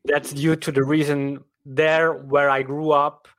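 Slow, halting Swiss German man. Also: fairly high pitch, flat delivery, a bit bright. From Switzerland. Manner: halting, with frequent restarts and "right?" tags. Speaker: podcast guest. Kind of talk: casual interview chat.

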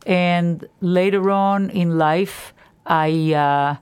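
A woman in her seventies from Israel, a teacher, telling her life story in an interview with earnest, animated restarts. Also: lowish voice, drawn-out words, smooth. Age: 70s.

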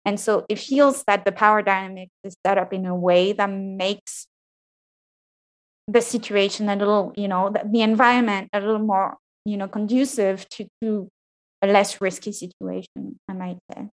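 A woman with a fairly high voice speaks thoughtfully as an interview guest. She is frank about her experience and often stops to restart a sentence. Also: fairly even intonation, normal speed, rough recording. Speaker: interview guest, PhD graduate. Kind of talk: reflective interview answers.

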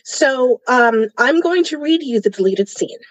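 A woman's voice: sits high, animated, unhurried, no echo, rough recording. A woman co-hosting a podcast, casually discussing a book and reading passages aloud. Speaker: podcast co-host.

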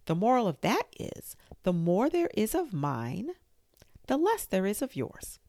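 Lady doing a preachy old duchess, high and singsong, swoops up and down a lot, bit gravelly. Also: wildly swooping pitch, a little slow, a little mellow, no room sound, clean studio sound. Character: fussy moralizing old Duchess, woman.

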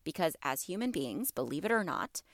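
A woman's voice: low voice, sing-song, fairly quick, no echo, clean studio sound. Stuffy-voiced woman hosting a wellness talk. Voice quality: slightly congested. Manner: warm encouraging, asks listeners questions. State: stuffy from allergies. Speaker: podcast host. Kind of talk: casual wellness talk to listeners.

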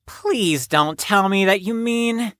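A woman's voice: mid-range voice, slow pace, fairly bright tone, no echo, studio quality. A woman playing a formal, pedantic, earnest psychiatric patient in an audio drama, with precise, stilted, wordy speech. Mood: puzzled.

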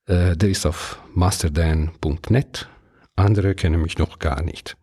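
A man in his fifties from southern Switzerland, Italian by mother tongue, whose German carries a clear Italian accent. His voice sits low and mellow with a husky edge. He hosts his own podcast alone, frank and conversational. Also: measured pace. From Ticino, Switzerland. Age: 50s. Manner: calm, candid, conversational with small hesitations. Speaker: podcast host. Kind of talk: solo podcast monologue.

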